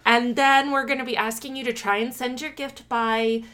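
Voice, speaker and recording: A woman's voice: on the high side, fairly even intonation, moderate pace, sharp tone. A woman co-hosting a podcast in casual, chatty conversation, laughing often and rambling a little. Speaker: podcast co-host.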